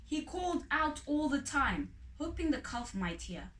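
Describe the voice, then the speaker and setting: A woman reading a children's story aloud in an expressive, dramatic way. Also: high voice, unhurried. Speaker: storybook reader. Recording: roomy echo, distant mic, faint noise.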